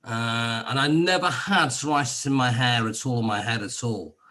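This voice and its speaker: A man's voice: medium pitch, normal speed, bright, forceful tone. An English man in a casual conversation, telling his own health story in a rambling, wry way with many restarts. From England. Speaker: guest sharing a health testimonial. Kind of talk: casual video-call conversation. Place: lives in Cyprus.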